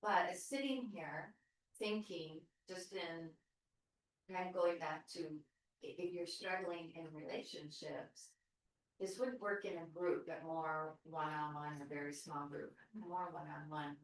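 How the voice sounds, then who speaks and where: A woman's voice: low-pitched, fairly even intonation, measured pace. A woman taking part in a group discussion, speaking thoughtfully and hesitantly with restarts. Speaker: group participant. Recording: very echoey room from far off.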